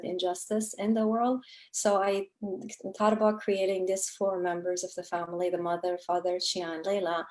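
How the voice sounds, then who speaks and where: A woman whose first language is Kurdish, an author answering interview questions in a reflective, earnest way with frequent pauses and fillers. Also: on the low side, darkish tone. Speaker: author guest.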